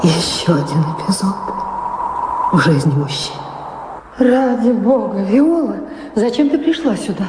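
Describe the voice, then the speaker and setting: A woman's voice: medium pitch, animated, unhurried, pauses often, background noise, close to an everyday voice. An actress playing a guarded mother and former lover in a radio drama, with pointed theatrical delivery. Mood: anxious, soothing. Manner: probing, pointed questioning. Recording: echoey hall reverb, music underneath.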